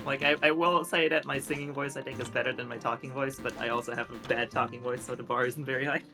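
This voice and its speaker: A man streaming a video game, talking casually with his co-host. He jokes and pokes fun at himself. Game music plays clearly under his voice. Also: medium pitch, steady tone, fairly quick. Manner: joking, self-deprecating, laughs often. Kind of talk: casual gaming chat.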